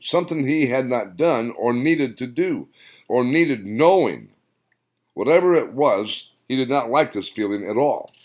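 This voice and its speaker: Male author-narrator, deep voice with lively intonation, slow measured audiobook read. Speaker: author narrating his own novel.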